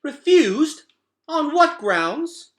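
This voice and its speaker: A man's voice: extremely high pitch, very animated, drawn-out words, roomy sound, voice pushed up, exaggerated voice. A man voicing an indignant, demanding young royal woman from a storybook, haughty and emphatic.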